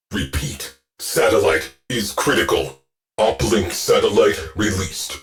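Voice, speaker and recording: An actor playing a grave male ship AI who gives clipped, measured military reports in a video game. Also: on the low side, a bit bright. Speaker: ship's command AI.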